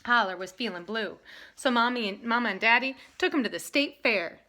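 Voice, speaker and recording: A woman teaching and reading a picture book aloud with emphatic, expressive delivery. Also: fairly high pitch, fairly quick. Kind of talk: lesson talk and animated storybook reading.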